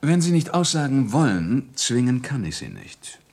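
A man playing a firm, courteous police inspector in a German crime radio drama, formal and insistent, with a husky voice. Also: medium pitch, very animated, moderate pace, darkish tone. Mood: firm, probing.

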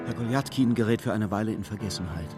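An actor as the narrator of a literary radio play, telling the story precisely and with dramatic suspense. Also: male voice, lowish voice, fast, close to an everyday voice. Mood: brisk, excited. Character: male storybook narrator, vivid and urgent observer. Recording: studio radio play with music.

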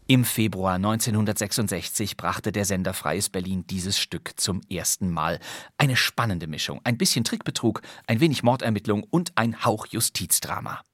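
A man's voice: lowish voice, normal speed, fairly bright tone, no room sound, clean studio sound. A middle-aged man hosting a podcast and engagingly introducing an old radio crime play. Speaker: podcast host.

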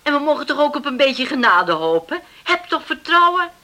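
A woman's voice: high voice, very animated, moderate pace, bright, forceful tone, heavily acted. A woman playing a worried, middle-aged widowed farm mother in a Dutch radio drama, earnest and confiding. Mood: doubtful, hopeful. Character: worried widowed farm mother dreaming of settled married sons.

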